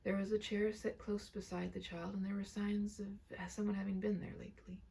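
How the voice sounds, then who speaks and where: A woman narrating a literary story aloud in a dramatic, expressive way, with hushed soft passages. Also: mid-range voice, a little fast, creaky. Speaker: amateur audiobook narrator.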